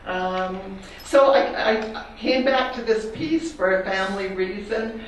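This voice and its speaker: An older woman with a Canadian accent speaks reflectively to an audience about her art, pausing now and then with fillers. Her voice sits at a middle pitch. She is heard from a distance in a very echoey hall, with faint room noise behind her. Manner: reflective, personal, pauses with fillers. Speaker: artist giving a talk. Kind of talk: slide talk to an audience.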